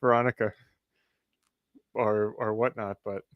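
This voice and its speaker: A young man co-hosting a casual chat, loud and teasing. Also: fairly low pitch, little pitch movement, measured pace, dry recording, highs cut off. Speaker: magic shop owner and co-host. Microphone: studio mic.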